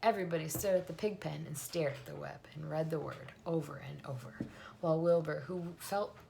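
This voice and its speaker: Low-pitched woman reading a story aloud. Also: fairly quick, vocal fry, mellow, slight background noise. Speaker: reader. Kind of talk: reading a children's novel aloud. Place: small room at home, indoors.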